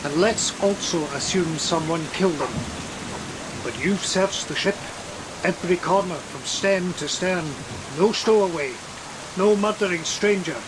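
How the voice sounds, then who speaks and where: An actor playing a troubled, sceptical male sea captain in a gothic audio drama, deliberate and commanding in manner. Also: sits high. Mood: grim reasoning. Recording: loud steady rain noise. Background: rain.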